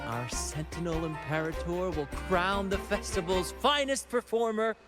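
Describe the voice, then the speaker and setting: An actor playing a flamboyant, theatrical ship captain in a video game, with grand, courtly phrasing. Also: male voice, sits high, normal speed, loud music behind the voice, natural voice. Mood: lively, lyrical. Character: flamboyant swashbuckling ship captain leading a merry theatrical troupe. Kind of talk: video game character acting.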